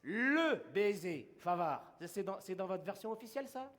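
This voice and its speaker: A man's voice: high voice, lively intonation, moderate pace, a bit bright. A man performing a wry, reminiscing monologue on stage, often chuckling as he recalls things. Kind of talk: theatrical monologue.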